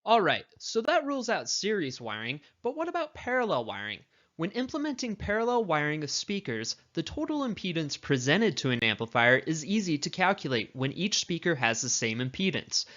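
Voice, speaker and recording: Higher-pitched man narrating a tutorial, slightly dull audio. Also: sing-song, fairly quick, pushed and bright. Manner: explanatory, instructional. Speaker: instructor.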